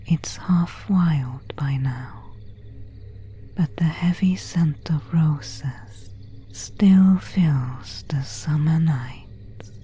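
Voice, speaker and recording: A woman's voice: sits low, extremely slow, dark tone. A woman playing an elegant, seductive vampire of an ancient family in a whispered ASMR roleplay, speaking intimately in formal, archaic phrases. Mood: courteous, beguiling. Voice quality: breathy, unvoiced whisper.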